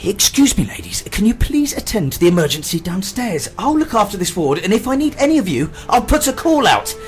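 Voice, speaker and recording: A man's voice: high-pitched, sing-song, brisk, faint music behind. A man playing a troubled hospital doctor in a radio drama, polite but menacing, with stiff formal phrasing. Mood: falsely kind.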